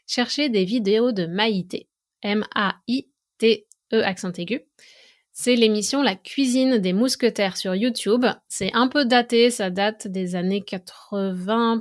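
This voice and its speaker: A woman from France giving an informal educational monologue as a teacher and host, explaining in an orderly way with examples. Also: medium pitch. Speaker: French language and culture teacher, podcast host.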